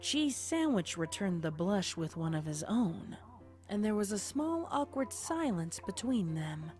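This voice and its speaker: A woman's voice: medium pitch, big pitch swings, unhurried, a little mellow, faint music behind. A woman reading scripted fan fiction narration as the storyteller.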